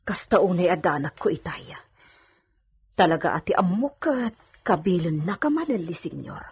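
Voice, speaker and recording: A woman's voice: on the low side, lively intonation, normal speed, darkish tone, slightly rough, heavily acted. A woman playing an outspoken, protective maidservant in a radio drama, speaking with an Ilocano accent. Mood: concerned.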